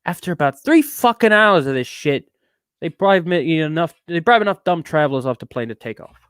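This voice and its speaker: A man's voice: fairly high pitch, sing-song, moderate pace, a bit bright, clean tone, no echo, studio quality. A man voicing a bitter, neurotic ranter in a theatrical dramatic reading, with a put-on accent that shifts around. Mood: edgy, nervous bravado. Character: edgy, bitter, ranting neurotic man telling a travelogue. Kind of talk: dramatic reading of a rambling rant.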